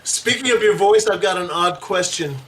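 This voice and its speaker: A man's voice: high voice, fairly bright tone. A man hosting a casual talk show, asking questions in a playful, teasing way. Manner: playful, teasing, quick laughs. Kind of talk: casual interview chat.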